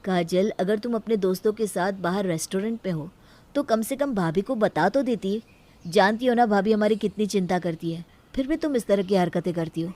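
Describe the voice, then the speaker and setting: A woman's voice: medium pitch, moderate pace, dark tone, close to an everyday voice. A woman voicing a sensible, exasperated husband and younger brother-in-law, reasoning and reproachful, in a story.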